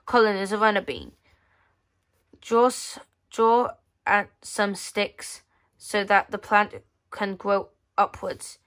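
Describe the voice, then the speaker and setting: A woman casually reads through a children's magazine, halting as she reads and adding offhand muttered asides. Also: mid-range voice, steady tone, fairly bright tone. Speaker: magazine reviewer. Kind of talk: casual read-aloud walkthrough of a children's magazine. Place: indoors at a tabletop.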